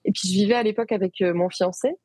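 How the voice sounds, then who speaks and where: French woman, husky voice, lively storytelling, fillers and restarts, dry close sound. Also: mid-range voice, rapid-fire, dry recording. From France. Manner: animated, many restarts and fillers. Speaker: guest. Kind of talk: conversational interview.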